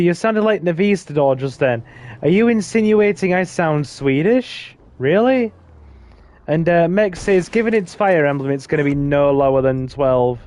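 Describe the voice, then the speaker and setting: A man's voice: a little high, darkish tone. A man hosting a casual gaming stream, chatting with a friend in a rambling way with fillers. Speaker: streamer host.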